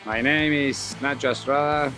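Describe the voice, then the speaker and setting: A man's voice: mid-range voice, steady tone, normal speed. A man from Argentina with an Argentine accent, a polo player talking casually in an interview. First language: Spanish. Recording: music behind the voice.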